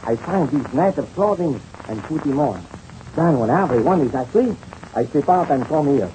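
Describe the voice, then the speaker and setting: An actor playing a polite, earnest captured French aviator with a French accent and formal broken English in a 1930s radio serial. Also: male voice, mid-range voice, expressive, normal speed, lightly acted. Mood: polite, grave. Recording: hissy old-time radio serial recording.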